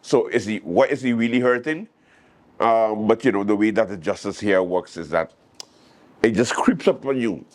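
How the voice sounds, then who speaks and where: A man's voice: on the low side, moderate pace. A man with a Caribbean accent hosting a late-night show, giving casual news commentary and acting out quotes in a storytelling way.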